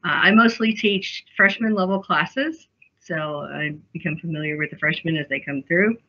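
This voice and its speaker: Middle-aged woman lecturer, hoarse-ish, bright tone, muffled call audio. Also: sits low, normal speed. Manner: throat clearing, friendly. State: losing her voice. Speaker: senior lecturer, graduate advisor. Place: office. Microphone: video call. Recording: dull lo-fi video-call audio.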